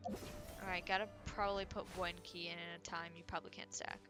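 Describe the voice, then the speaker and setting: A woman's voice: lowish voice, level tone, fairly quick. A woman giving casual live gameplay commentary, musing and thinking aloud. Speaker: game streamer. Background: game music.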